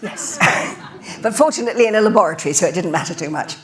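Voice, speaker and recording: A woman's voice: on the low side, expressive, quick pace. An older woman hosts a lecture event, introducing the speaker at a podium microphone in a chatty way. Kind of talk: speech introduction. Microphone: podium mic.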